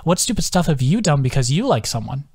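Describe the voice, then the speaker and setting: A man's voice: a little high, big pitch swings, fast talker, darkish tone, breathy edge, no room sound, heavily acted. Casting: male narrator as teasing female companion, curious and sly, audiobook dialogue with a very dry sound. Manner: short, sly, prodding questions. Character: curious, teasing young woman, friend of the soldier.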